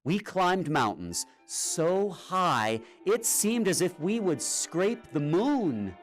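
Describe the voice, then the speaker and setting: A man narrates a children's storybook with expressive drama. His fairly high voice swings widely in pitch and takes its time, with music playing behind it. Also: takes it slowly. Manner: expressive, dramatic read-aloud delivery. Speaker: storybook reader. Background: music and sound effects.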